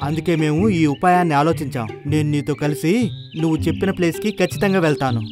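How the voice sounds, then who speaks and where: Earnest, indignant cartoon bull, played by a man, higher-than-average voice, quiet music bed. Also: fast talker, close to an everyday voice. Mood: defiant. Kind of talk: cartoon moral story voice acting.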